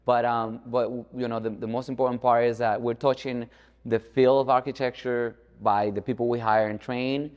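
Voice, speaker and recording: A man's voice: medium pitch, little pitch movement, moderate pace, clear, resonant tone, highs cut off. A man from the Dominican Republic talking informally as an architect guest in an interview, often checking in with the listener. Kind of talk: conversational interview. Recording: echoey hall-like reverb.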